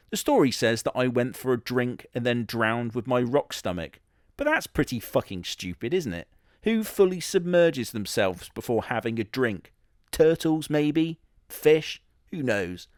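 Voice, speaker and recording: A man's voice: mid-range voice, lively intonation, unhurried, darkish tone, no echo, clean studio sound. Sardonic man, crude comic storytelling. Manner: sardonic, crude, swears casually. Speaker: comedy narrator in character.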